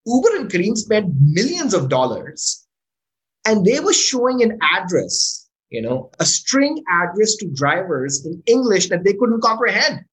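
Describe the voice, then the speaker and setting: Animated startup founder. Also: mid-range voice, a little slow, poor audio. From Pakistan. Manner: frequent "right?" tag questions, restarts words when excited. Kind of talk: casual interview answer.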